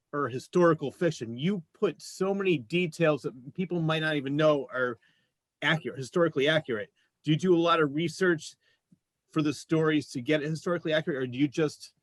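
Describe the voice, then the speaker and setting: Higher-pitched male interview host. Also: no echo. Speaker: show host interviewing guests. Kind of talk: casual conversational interview.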